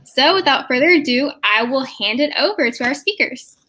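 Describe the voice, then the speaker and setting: A woman's voice: high-pitched, lively intonation, moderate pace, bright, forceful tone. A woman moderating an event, reading out formal speaker introductions.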